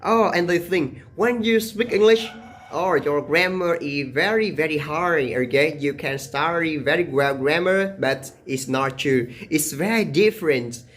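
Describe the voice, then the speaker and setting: A man's voice: a little high, a bit bright. A young man from Vietnam with a Vietnamese accent, talking casually to camera about learning English, exaggerating his pronunciation and tagging lines with "okay?". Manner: deliberately exaggerated, emphatic pronunciation; frequent "okay?" tags and "uh" fillers. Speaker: English-learning video creator.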